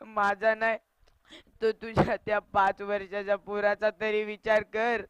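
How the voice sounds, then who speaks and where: A woman playing a grieving orphaned younger brother in a melodramatic stage monologue, crying and pleading in drawn-out phrases. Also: mid-range voice, completely flat delivery, clear, resonant tone, no room sound, muffled recording, natural voice. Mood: desperate grief.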